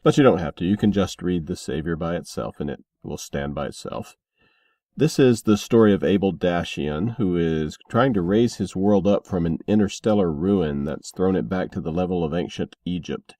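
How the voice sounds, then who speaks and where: A man's voice: sits low, lively intonation, moderate pace, mellow, dry recording, studio quality. A man hosting a podcast in a casual, informal way, with playful asides as he promotes books and music. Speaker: podcast host.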